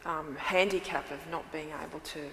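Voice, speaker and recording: A woman on a conference panel, speaking in a measured, thoughtful way. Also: low voice, fairly even intonation, creaky, fairly bright tone. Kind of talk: unscripted panel discussion. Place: large echoey hall. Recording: roomy hall reverb, faint background noise.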